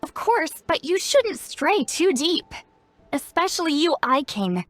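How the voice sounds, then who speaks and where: Youthful-sounding granny character, high animated voice, teasing, shrewd, caring, game dialogue, soft music behind. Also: female voice, a little slow. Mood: caring, informative. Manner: playful, knowing, refers to self as granny. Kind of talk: scripted video game dialogue.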